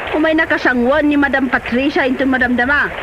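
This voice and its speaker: Woman playing a high-voiced, chatty Ilocano auntie. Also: fairly even intonation, a little fast, close to an everyday voice. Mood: inquisitive, chatty. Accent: Filipino Ilocano. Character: chatty, meddling, well-meaning mother and auntie. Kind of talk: comedy drama acting. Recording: dull, noisy location sound.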